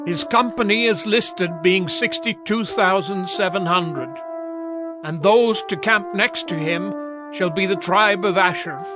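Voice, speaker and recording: A man's voice: high voice, measured pace. A man giving a formal, declamatory reading of scripture from a script. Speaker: narrator. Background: prominent music.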